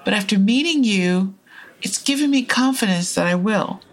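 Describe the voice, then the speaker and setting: Actress as lively, earnest young woman traveler. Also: medium pitch, moderate pace, close to an everyday voice. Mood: earnest, tipsy. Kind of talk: audio drama dialogue.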